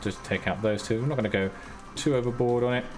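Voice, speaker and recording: A man's voice: mid-range voice, fast talker, darkish tone. A man streaming a building game, muttering casually step by step to himself with self-mocking asides. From Britain. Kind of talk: live-stream gameplay commentary. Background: his own background music.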